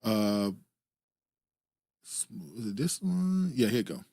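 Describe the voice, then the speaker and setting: A man's voice: on the low side, slow pace, dry recording, studio quality. A man hosting a casual commentary show, reacting to clips with frequent "uh" fillers and laughs. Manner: relaxed, laughing, filler-heavy. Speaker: show host.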